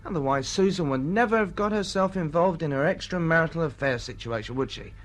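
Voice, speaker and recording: An actor playing a pompous, tactless, self-satisfied husband who lectures in stiff jargon, in a radio drama. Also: male voice, a little high, fairly quick, lightly acted. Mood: smug, lecturing.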